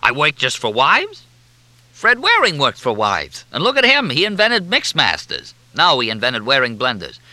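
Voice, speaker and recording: A cantankerous newspaper wit, acted by a man reading a novel, rants through a chewed cigar. The voice is pushed high and sharp, swinging widely as he badgers with crude, repeated questions. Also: sing-song, normal speed, no room sound, exaggerated voice. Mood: needling. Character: cantankerous, ranting male newspaper humor columnist.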